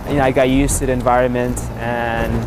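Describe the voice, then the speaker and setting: Relaxed young man telling his story. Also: mid-range voice, noisy background. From Indonesia. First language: Sundanese. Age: 20s. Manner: relaxed, frequent filler pauses. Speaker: interviewee. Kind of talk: casual personal storytelling.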